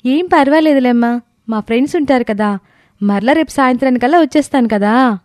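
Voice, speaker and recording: A woman's voice: sits high, a little fast, a little mellow, no echo, clean studio sound, natural voice. A woman playing a composed, earnest young woman in a family story. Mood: reassuring. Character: composed young wife and daughter in a family tale. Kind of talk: acted story dialogue.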